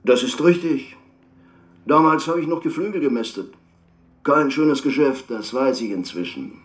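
Actor as animated, self-satisfied male businessman. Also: medium pitch, normal speed, reverberant, close to an everyday voice. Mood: self-justifying. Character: smooth-talking, self-justifying male businessman. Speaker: businessman interviewed on air. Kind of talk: radio drama acting of an interview.

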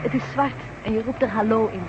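A woman's voice: fairly high pitch, fast talker, background noise, natural voice. A woman playing a keen, dryly witty companion to an adventurer in a radio drama. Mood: puzzled, wry. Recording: dull old recording with music.

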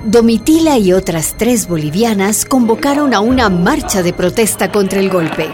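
A woman narrating a radio drama in a measured, solemn way. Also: mid-range voice, animated, darkish tone, soft background music.

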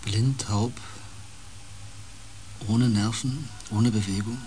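A man playing a haunted, intense empath and space explorer in a radio drama, brooding and halting in fragmented phrases. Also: fairly low pitch, fairly even intonation, dark tone, slight background noise, rough recording. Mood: haunted, hushed.

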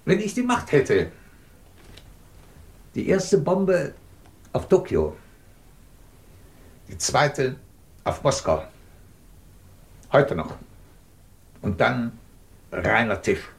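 An actor playing a ruthless, scornful British scientist in a 1950s German radio drama, cold, cutting and commanding. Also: male voice, mid-range voice, sing-song, drawn-out words, gravelly, pauses often, full character voice. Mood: menacing.

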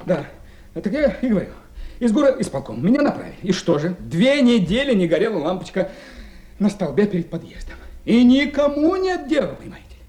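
A pompous, aggrieved working man with a high, very animated voice. He stammers and pads his complaints with officious phrases, querulous throughout, in a 1970s radio drama with a roomy echo. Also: fairly quick. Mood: querulous, mocking.

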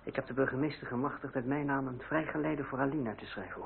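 A man's voice: medium pitch, fast talker, gravelly, natural voice. An actor playing a proud, headstrong young man in a radio drama, with formal, emphatic, theatrical delivery. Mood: firm, cool. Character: proud, headstrong young nephew in revolutionary politics. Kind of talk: old radio drama acting. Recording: crackly, narrow-band old radio recording.

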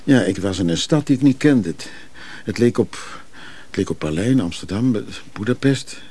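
Brooding man with a slightly husky voice. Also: lowish voice, expressive, normal speed, mellow. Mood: haunted, brooding. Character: restless moody male lover haunted by dreams. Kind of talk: intimate radio drama acting.

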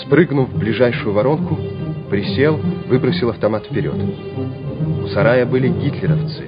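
A man's voice: medium pitch, moderate pace. A man narrating a radio play in a dramatic, scripted storytelling style. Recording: dull old radio drama recording. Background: music.

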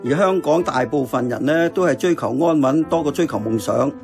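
A man playing an earnest, thoughtful uncle who runs a diner in a radio drama, with a Hong Kong accent and a measured, reflective manner. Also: medium pitch, expressive, gravelly. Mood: earnest, reasoning. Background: music.

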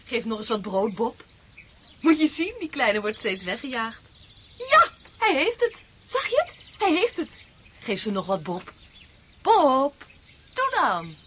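An actress playing an affectionate, playful young lodger in a radio drama, coaxing and direct. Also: female voice, a little high, wildly swooping pitch, slow, pauses often, noisy background, exaggerated voice. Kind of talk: Dutch radio drama acting.

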